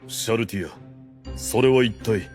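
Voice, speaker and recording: A man's voice: on the low side, measured pace, darkish tone, soft background music, close to an everyday voice. A man playing a grave, commanding skeleton overlord in an anime audio drama, speaking in a solemn, pompous, regal manner. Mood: stern, puzzled.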